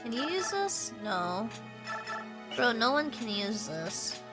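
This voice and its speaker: A woman's voice: fairly high pitch, sing-song, slow, low-quality recording. A young woman streaming a game, chatting casually and rambling with lots of fillers, her nose stuffy from allergies. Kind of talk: casual gameplay stream chat. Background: game music.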